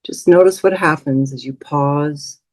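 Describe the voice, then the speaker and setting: A woman leading a guided meditation as a teacher, speaking in a soothing, unhurried way with long pauses. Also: low-pitched, darkish tone, no room sound.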